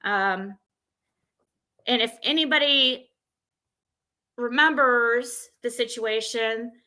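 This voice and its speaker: A woman hosting a livestream, talking casually and haltingly, thinking aloud as she rambles through asides. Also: a little high, bright, forceful tone. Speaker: livestream panel host.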